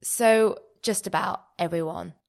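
Soft-spoken woman podcast host. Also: lowish voice, unhurried, vocal fry. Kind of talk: scripted intro and interview questions.

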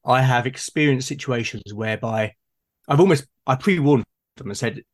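A man with a medium-pitched voice talks as the host of a relaxed discussion. He muses as he goes, halting and repeating the starts of his sentences while he works through a thought. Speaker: host and game master in a conversation. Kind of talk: casual reflective discussion.

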